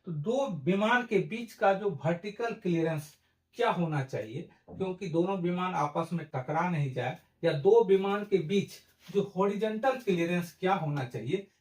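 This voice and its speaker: A man's voice: high-pitched, expressive, normal speed. A man giving an explanatory lesson in a didactic, step-by-step way. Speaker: educational presenter. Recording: roomy echo, distant microphone.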